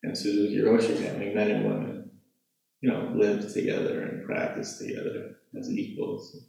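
A man sharing in a group circle, halting and earnest with many pauses and fillers. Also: lowish voice, monotone, creaky, warm tone. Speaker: sangha member sharing. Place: meditation hall. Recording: very echoey hall.

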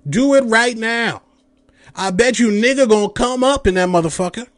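Late-thirties male podcast host, high-pitched, dry close mic, angry profane monologue. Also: normal speed, fairly bright tone, dry recording. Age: 30s. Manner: heated, profane, mocking rant with mimicked quotes. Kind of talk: ranting commentary.

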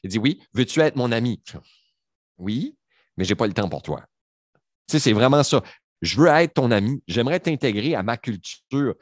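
A man's voice: mid-range voice, animated, normal speed, long pauses, no echo, clean studio sound. A man, giving his opinions on a panel in a rambling, emphatic way with many fillers. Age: 40s.

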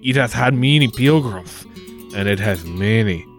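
Casting: male actor as creepy monastery priest, insistent, leering, drawn-out delivery, for comic radio play with music underneath. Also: fairly low pitch, animated. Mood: leering, secretive. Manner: suggestive, oily, drawn-out delivery. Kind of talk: 1940s-style radio play acting.

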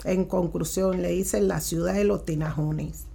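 A woman with a Cuban accent, answering interview questions as a guest in plain, unhurried sentences with pauses. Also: lowish voice, fairly even intonation, vocal fry, mellow, slightly rough. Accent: Cuban Spanish. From Cuba.

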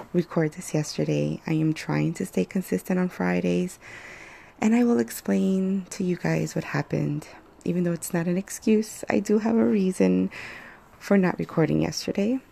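A woman hosts her own podcast, recording straight into a phone. Her voice is hoarse and deeply gravelly, pitched a little low with a dark, mellow tone. She rambles casually, pausing often and filling the gaps with ums and uhs. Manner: rambling, hesitant, many fillers. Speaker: podcast host. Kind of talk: casual solo podcast chat.

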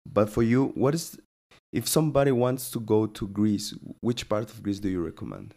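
Low-voiced podcast host with a Spanish accent. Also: male voice, expressive, creaky, dark tone. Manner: friendly, halting, with repeated words. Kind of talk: casual interview.

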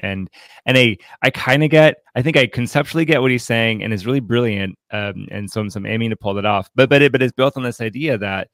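A man co-hosting a casual book discussion, speaking haltingly and repeating words as he thinks aloud. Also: medium pitch, fairly bright tone, no room sound. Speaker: podcast co-host and writer.

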